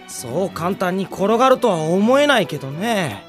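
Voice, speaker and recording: Smug, lively teenage playboy. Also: male voice, sits high, moderate pace, faint music behind. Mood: teasing. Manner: suave, teasing, self-satisfied. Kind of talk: Japanese visual novel audio drama acting.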